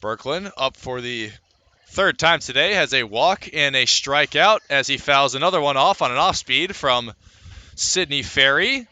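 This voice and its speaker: Bright, forceful male baseball play-by-play announcer. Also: measured pace, no room sound. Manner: lifts into excitement on plays, conversational between pitches. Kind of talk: live baseball play-by-play commentary.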